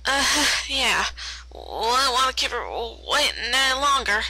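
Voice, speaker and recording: A woman's voice: high voice, expressive, slow, sharp tone, no room sound, pitched above the performer's own voice, heavily acted, poor audio. A woman voicing a flustered, folksy female farm pony, with stammering country phrasing, in a story reading.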